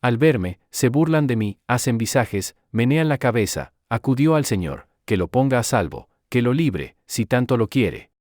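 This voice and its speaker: Male reader, psalm, measured, dry studio sound. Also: mid-range voice, big pitch swings, darkish tone, no room sound. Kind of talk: psalm reading.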